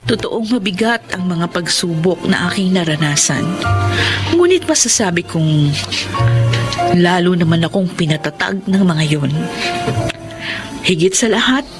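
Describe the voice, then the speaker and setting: An actress playing an earnest, long-suffering young woman in an emotional radio drama. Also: lowish voice.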